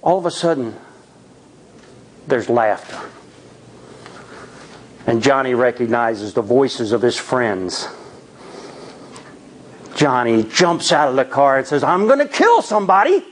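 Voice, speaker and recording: A man telling a spooky tale live in a theatrical, suspenseful way, with deliberate dramatic pauses. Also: medium pitch, slight background noise. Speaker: storyteller at a Toastmasters tall tales event.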